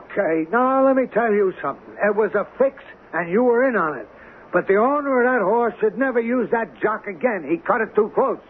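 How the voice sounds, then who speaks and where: An actor in a 1970s radio drama playing a wary, plaintive small-time hustler who talks in streetwise slang. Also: male voice, high-pitched, animated, a little fast, breathy edge. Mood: suspicious. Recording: tinny, noisy 1970s radio broadcast.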